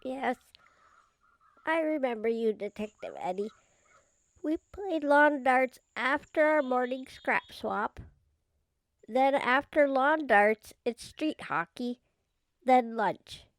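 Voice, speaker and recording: A woman's voice: sits high, lively intonation, slow, pauses often, no echo, heavily acted. A woman playing an earnest young scrapyard girl in an old-time radio mystery, chatty and naive with folksy kid phrasing. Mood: worried, apologetic.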